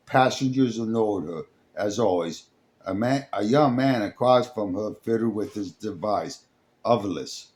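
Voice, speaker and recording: A man reading a dramatic scripted story as its narrator, in a halting way, sometimes stumbling over the words. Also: lowish voice, a little mellow. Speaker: storyteller, host.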